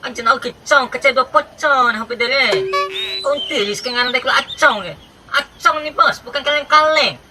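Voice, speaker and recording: A man with a strikingly high voice trades teasing banter in casual vlog chat. His tone is playful throughout. Clear background noise sits under the recording. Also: normal speed. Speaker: channel co-host.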